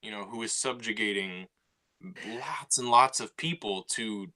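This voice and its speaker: Chatty podcast co-host, mid-pitched bright male voice, casual delivery with frequent restarts. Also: unhurried. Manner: talkative, lots of "you know" and restarts. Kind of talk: casual chat.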